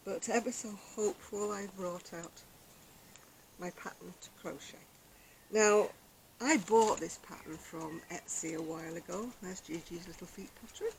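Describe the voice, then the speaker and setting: An older woman vlogging about her crochet, chatting casually on an outdoor deck in a quiet, soft-spoken, rambling way. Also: lowish voice, normal speed, gravelly, slight background noise, rough recording. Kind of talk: casual chat to camera. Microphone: external camera microphone.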